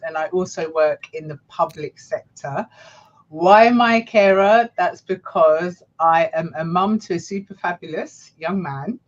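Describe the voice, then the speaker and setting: A woman from Ghana introducing herself as a panelist in a casual discussion, friendly and upbeat. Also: low-pitched. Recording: dull lo-fi audio.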